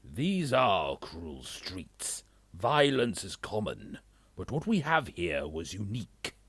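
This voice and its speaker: An actor playing a domineering male pastor who speaks in a grave, commanding and threatening way, with a gravelly voice. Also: low voice, big pitch swings, takes it slowly, a bit bright, no echo, heavily acted. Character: domineering male pastor of a grim city chapel.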